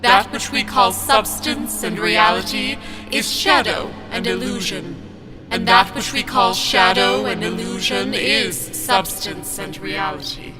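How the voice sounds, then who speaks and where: An actor in a radio play voicing a solemn otherworldly cosmic guide who speaks in measured, cryptic pronouncements. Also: medium pitch, over music, natural voice, poor audio.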